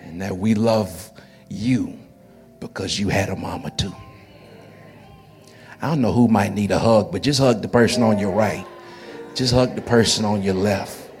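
A man's voice: on the low side, measured pace, long pauses, a little mellow, faint music behind. A man in his forties, a pastor, preaching a sermon in a conversational way and often repeating words as he builds his phrases. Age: 40s.

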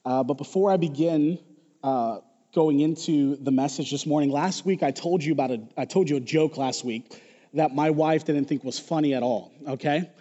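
A hoarse male pastor giving a casual, joking sermon to his congregation in a church. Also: a little high, moderate pace, warm tone. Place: church sanctuary. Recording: dull echoey church hall recording.

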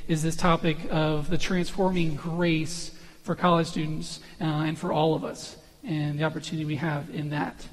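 A young man with an unusually high voice, a visiting college minister opening his sermon in a friendly, self-deprecating, chatty way. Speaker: guest preacher, college minister. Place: church sanctuary. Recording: long church reverb.

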